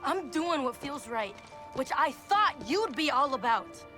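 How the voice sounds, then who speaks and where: A woman's voice: high-pitched, sing-song, a little slow, pushed and bright, soft background music, full character voice. An actress playing a sarcastic, rebellious eighteen-year-old girl in a video game cutscene. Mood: defiant. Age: young adult. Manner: sarcastic, valley-girl fillers.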